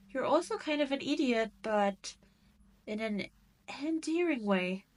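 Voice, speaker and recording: A woman's voice: medium pitch, a little mellow, natural voice. A woman voicing a cruel, haughty demon queen in a roleplay audio drama, with a teasing, condescending manner. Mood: amused, intrigued. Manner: slow, teasing, condescending drawl with theatrical emphasis.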